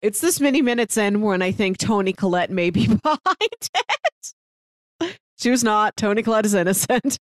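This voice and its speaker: A woman's voice: medium pitch, animated, normal speed, dry recording. A woman with a mid-range voice talks casually as a co-host on a film discussion show. Her delivery is chatty, with light laughter often slipping into her words. The sound is close and dry. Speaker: movie podcast co-host.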